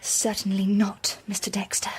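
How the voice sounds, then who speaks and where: A woman's voice: medium pitch, monotone, moderate pace, in a whisper, natural voice, low-quality recording. A woman playing a determined, composed young Victorian wife in a radio drama, earnest and polite. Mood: firm, earnest.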